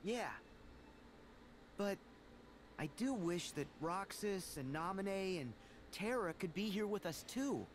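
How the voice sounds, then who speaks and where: A man's voice: high-pitched, expressive, takes it slowly, long pauses, slight background noise. An actor playing an upbeat, caring teenage boy hero in a video game. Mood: earnest.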